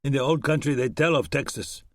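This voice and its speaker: An earnest young German ex-soldier talks in halting, back-to-front English, as a male narrator puts him on. The voice sits a few steps above the narrator's own and comes across dry and close, with no room around it. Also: sits high, fairly bright tone, no room sound, pitched above the performer's own voice. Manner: German accent, broken word order.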